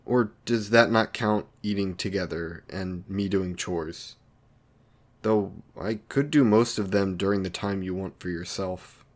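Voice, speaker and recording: A man playing a lonely, awkward villain in an audio romance roleplay, earnest and anxious to please, speaking haltingly and apologetically. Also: deep voice. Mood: earnest, unsure.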